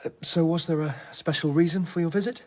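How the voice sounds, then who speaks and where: An actor playing an earnest, modest young doctor in a naturalistic radio drama, hesitant and self-effacing. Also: male voice, on the high side, fairly even intonation, fast, dry recording, lightly acted. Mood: polite, bashful.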